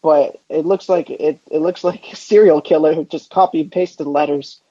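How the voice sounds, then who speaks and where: Mellow higher-voiced man, dull lo-fi podcast audio. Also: fairly even intonation, normal speed, husky, dry recording. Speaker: podcast co-host. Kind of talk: casual sports podcast chat.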